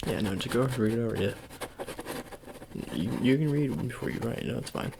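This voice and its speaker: A man doing an improvised ASMR roleplay as a sports agent, talking in halting, repetitive, murmured reassurances. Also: medium pitch, animated, vocal fry, background noise. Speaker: ASMR performer.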